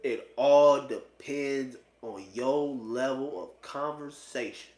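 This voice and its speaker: A man's voice: medium pitch, fairly even intonation, slow, darkish tone. A man in his late twenties giving a blunt, slangy, profane opinion monologue, casually repeating phrases for emphasis. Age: late 20s. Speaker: vlogger giving dating opinions. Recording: echoey, roomy sound.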